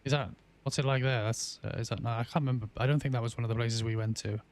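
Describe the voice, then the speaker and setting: Smooth-voiced man streaming a game. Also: mid-range voice, steady tone, very fast. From England. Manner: chatty, reads out and answers viewer chat with many ums and restarts. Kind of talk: casual live-stream chat while playing.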